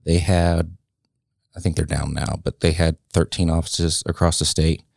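A man in his late thirties, a guest in a casual interview, speaking reflectively and haltingly with many pauses and restarts. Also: rumbling low, level tone, vocal fry, dry recording, clean studio sound. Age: late 30s.

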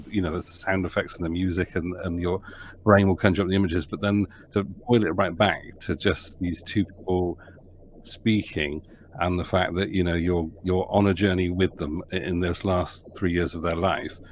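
Deep-voiced man, rambling podcast guest. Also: little pitch movement, normal speed, slight background noise. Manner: rambling, many restarts and fillers. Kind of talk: podcast interview answer.

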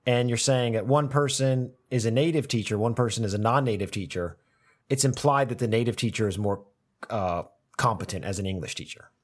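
A man's voice: mid-range voice, fairly quick. A man, an English teacher, talking through ideas in a casual academic conversation and often repeating words as he thinks aloud.

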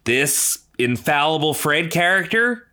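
A man's voice: on the high side, very animated, measured pace, bright, forceful tone, heavily acted. A man playing an indignant, paranoid podcast host, ranting profanely and melodramatically in a scripted comedy podcast. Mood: outraged disbelief. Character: indignant, paranoid male podcast host, foul-mouthed and exasperated.

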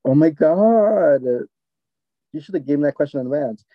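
A man with a Jamaican accent in casual chat, retelling his reactions in an animated way, on a slightly lo-fi recording. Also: fairly high pitch, normal speed, dry recording.

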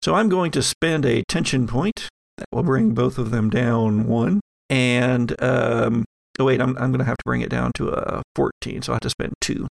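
Creaky-voiced man as game master. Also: medium pitch, dry recording. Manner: halting, thinking aloud as they narrate. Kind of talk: improvised narration of a tabletop horror game.

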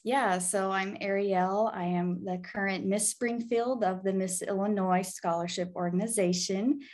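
A woman's voice: medium pitch, steady tone, a little slow, a little mellow. A woman in her twenties with an American accent, giving upbeat, earnest conversational answers as an interview guest. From Arkansas, United States. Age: 20s. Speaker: guest, pharmacy student and pageant titleholder.

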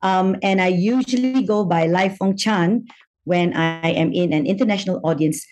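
A middle-aged woman from Malaysia, a psychiatrist answering interview questions in a measured, thoughtful way with frequent pauses. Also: on the low side, a little mellow, soft background music. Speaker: psychiatrist guest.

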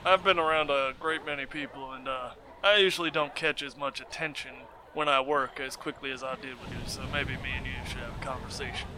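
A man playing a tabletop role-playing game, speaking for his detective with a loud, carrying voice and frequent laughter. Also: mid-range voice, steady tone, fairly quick, few pauses, slight background noise, rough recording. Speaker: player.

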